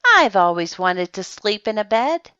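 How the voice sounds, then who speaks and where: Woman voicing a wide-eyed little toy bear, bright. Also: medium pitch, sing-song, measured pace, clean tone, dry recording, highs cut off. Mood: wide-eyed wonder. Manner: wondering, earnest. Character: small toy bear, wide-eyed, innocent, full of wonder.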